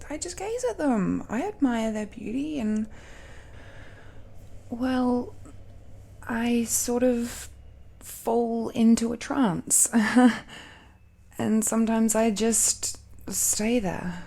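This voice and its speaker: Woman acting a slow, tender, nervous dragon girl. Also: medium pitch, gravelly, dark tone. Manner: hesitant, halting confession with nervous laughs and sighs. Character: affectionate, nervous young dragon-blooded woman confessing love. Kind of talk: intimate romantic audio roleplay.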